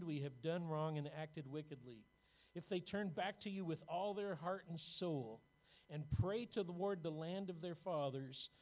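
Male pastor, earnest sermon reading, slightly raised pitch, tinny narrow recording. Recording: tinny phone-like sound.

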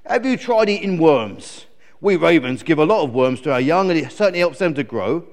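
A man's voice: a little high, lively intonation, fairly quick, long reverb tail. A man voicing a friendly, chatty male raven who exclaims effusively in a children's story. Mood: eager, helpful.

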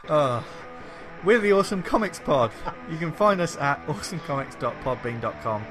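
A man's voice: on the high side, animated, fairly quick. A man reading a playful promo for his comics podcast, bantering with co-hosts. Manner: joking, playful. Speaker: podcast host. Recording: loud music bed.

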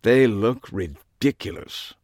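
An eccentric, tall British journalist acted by a man reading a story. He speaks in an affected, theatrical and deliberate manner with animated swings of pitch. The sound is close and dry. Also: dry recording. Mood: disdainful.

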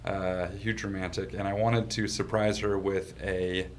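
Relaxed guy from washington, kinda low voice, chatting about his business, faint background hum. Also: measured pace. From Washington state, US. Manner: laid-back, laughs easily. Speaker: company founder, guest. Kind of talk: casual storytelling. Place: his office.

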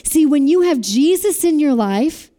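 A woman preaches a sermon in a high, mellow voice. She has a loud voice and keeps asking her listeners to repeat lines back to her. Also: lively intonation, unhurried. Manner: asks the audience to repeat phrases.

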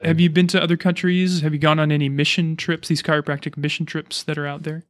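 Chatty man with a higher-pitched voice. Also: flat delivery, fast, fairly bright tone, no echo. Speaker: podcast host, chiropractor. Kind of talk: casual interview.

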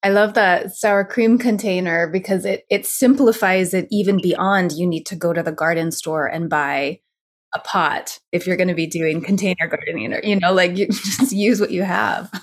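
A woman hosting an interview, asking questions casually and backchanneling with soft "mm-hmm"s. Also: on the low side, fairly even intonation, normal speed. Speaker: podcast host.

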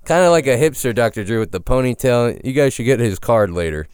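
A man's voice: mid-range voice, expressive, brisk, darkish tone, clean tone, no echo, studio quality. A young man co-hosting a podcast, rambling and joking in a goofy, casual chat. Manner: goofy, rambling, jumps between bits. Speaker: podcast co-host.

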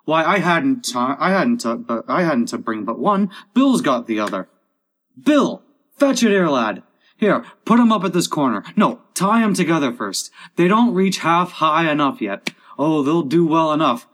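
A man voicing squabbling storybook workmen who brisk and busily call out orders to one another. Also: medium pitch, very animated, fairly bright tone. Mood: bustling. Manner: brisk, calling out. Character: bustling, squabbling male workmen calling out orders.

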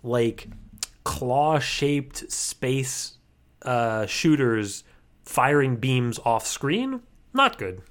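A man's voice: medium pitch, sing-song, extremely slow, fairly bright tone. A man co-hosting a podcast in casual chat, riffing on a cartoon with a wry, loose manner as he searches for words. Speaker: podcast co-host.

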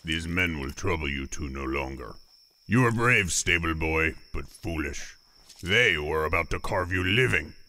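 An actor playing a gruff, weary orc warrior in a fantasy audio drama, terse and dry with a gravelly, unhurried delivery. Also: male voice, a bass voice, animated, bright, forceful tone, slight background noise, full character voice. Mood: stern.

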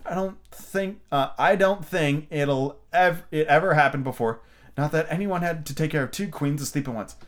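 A man's voice: a little high, normal speed, voice pushed up, close to an everyday voice. A man voicing a young girl who sounds puzzled and impatient, fretting and asking questions. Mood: musing. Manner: fretful, questioning.

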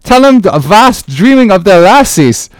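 A man's voice: high-pitched, big pitch swings, unhurried, dry recording, voice pushed up. A man doing a rowdy jeering sailor. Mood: boisterous. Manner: jeering, boisterous. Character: rowdy teasing old-time sailor.

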